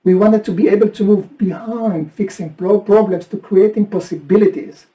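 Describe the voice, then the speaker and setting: Israeli-accented man, mellow and off-mic. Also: high-pitched, normal speed, far from the mic. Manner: repeats words, many restarts. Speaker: co-host and trainer. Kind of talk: presentation chat.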